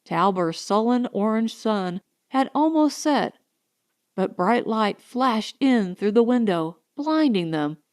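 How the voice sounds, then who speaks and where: Woman reading a story audiobook, takes it slow, very dry close sound. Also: on the high side, expressive, no room sound. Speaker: audiobook narrator. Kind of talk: scripted story narration.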